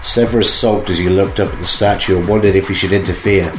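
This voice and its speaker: A man narrating an audio drama in a steady reading voice. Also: sits low, fast talker, roomy sound. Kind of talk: read-aloud story narration. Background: music.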